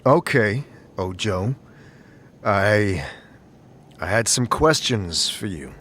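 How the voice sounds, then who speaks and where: Man playing a low-voiced, questioning adventurer. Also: animated, slow pace, fairly bright tone, exaggerated voice. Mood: curious, careful. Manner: hesitant, questioning. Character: adventuring leader, curious, patient, slightly wary man. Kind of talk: audio drama acting.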